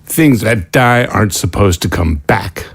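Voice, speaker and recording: A man voices a frustrated, cynical scientist in an audio drama. He grumbles and swears about the bad conditions of his work. His voice is fairly low and recorded dry and close. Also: expressive, measured pace, vocal fry, slightly rough, dry recording, exaggerated voice. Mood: bitter. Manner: agitated, bitter, swears.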